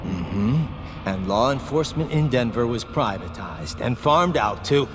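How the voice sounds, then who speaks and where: A man voicing a grim, determined, righteous cyborg soldier with a gruff voice in a video game. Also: mid-range voice, animated, a little slow, slight background noise, close to an everyday voice. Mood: wry, gruff. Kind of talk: dramatic video game voice acting. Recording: long reverb, music behind.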